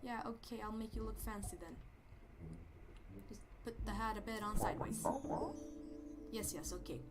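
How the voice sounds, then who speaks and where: A man putting on a raised, goofy voice with a Swedish accent, rambling and hesitant as he comments on a game. He yelps and stammers at every surprise. Loud game music plays behind him. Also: sits high, steady tone, normal speed. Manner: rambling, hesitant, jumpy exclamations. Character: goofy high-voiced man persona, rambling and easily startled. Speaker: let's play host. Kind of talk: let's play commentary.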